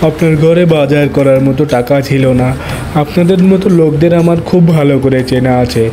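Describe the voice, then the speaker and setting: A man's voice: a little high, brisk, background noise, natural voice. A man playing an exasperated, theatrical, complaining character in a comic cartoon. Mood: pushy, annoyed. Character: harried, broke, grumbling husband and pushy loan instalment collector. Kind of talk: comic Bengali cartoon dialogue.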